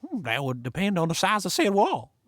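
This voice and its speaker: Excitable, swooping Old West explosives seller. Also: female voice, lowish voice, brisk, no echo. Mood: gleeful. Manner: folksy, whooping, theatrical. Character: gleeful, excitable Old West dynamite seller named Spots. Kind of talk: audio drama acting.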